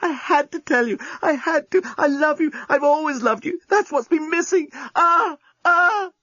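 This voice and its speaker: Lucy, a breathless, gushing lovestruck woman, as a male narrator puts her on, shrill and loud, slightly muffled dry recording. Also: animated, unhurried, no echo. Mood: passionate. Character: lovestruck, frantic young woman.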